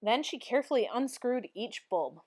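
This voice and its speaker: A woman reading a children's storybook aloud in an expressive, clearly phrased narration. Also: fairly high pitch, normal speed. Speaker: storybook reader.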